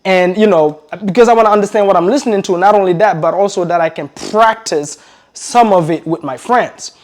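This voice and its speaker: A man in his thirties who grew up in Belgium and learned English later. He coaches real estate newcomers in an energetic, repetitive style and keeps calling his viewers "guys.". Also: high-pitched, brisk. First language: not English. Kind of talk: motivational talk to camera.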